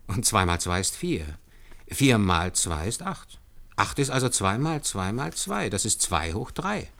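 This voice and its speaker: Earnest calculating man, lowish voice, very lively intonation, patient, methodical, deferential, radio drama. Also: a little slow, creaky, full character voice. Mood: eager, explanatory. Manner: patient, methodical step-by-step explaining. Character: earnest, deferential male calculator demonstrating hand arithmetic to superiors. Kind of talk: German radio play acting.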